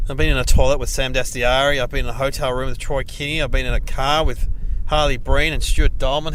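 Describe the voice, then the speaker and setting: A man's voice: mid-range voice, fairly bright tone, slight background noise, rough recording. A man hosting a comedy show, chatting casually and teasing his guests in a playful, jokey way. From Australia. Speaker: comedian host. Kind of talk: casual backstage chat.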